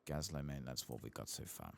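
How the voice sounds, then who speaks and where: A man softly murmuring casual, unhurried ASMR commentary over a video game, sometimes whispering, close to the microphone. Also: very deep voice, expressive, creaky. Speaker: gaming ASMR streamer.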